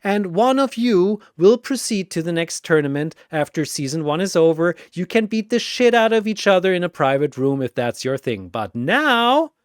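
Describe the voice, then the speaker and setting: A man's voice: sits high, very animated, moderate pace, few pauses, clear, resonant tone, no room sound, clean studio sound, pitched above the performer's own voice. A man voicing a flamboyant, exasperated arena master in a fantasy battle story, scolding with theatrical emphasis. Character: loud exasperated flamboyant four-armed male arena master.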